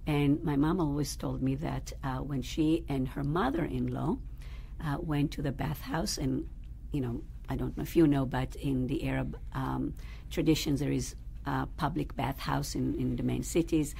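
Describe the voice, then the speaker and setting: A woman rabbi recounting her family's history in an interview, pausing often to search for words. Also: a bass voice, fairly even intonation, creaky, dark tone. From Israel. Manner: thoughtful, searching for words with fillers. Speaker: rabbi, guest. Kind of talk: interview, personal storytelling. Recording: faint background noise.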